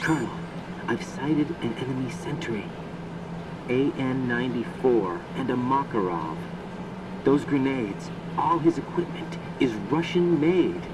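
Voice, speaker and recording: A man's voice: mid-range voice, animated, measured pace, recorded from a distance, very noisy, natural voice. An American man playing an earnest, inquisitive young special agent reporting in to a commander in a video game. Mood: alert, puzzled. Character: young male special agent, earnest, questioning rookie soldier. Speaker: field operative.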